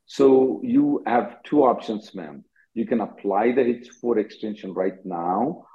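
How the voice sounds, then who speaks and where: A man hosting an immigration call-in, answering callers in a curt, businesslike way and hurrying them along. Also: on the low side. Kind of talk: brisk Q&A on a conference call. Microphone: conference call line. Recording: dull lo-fi call audio.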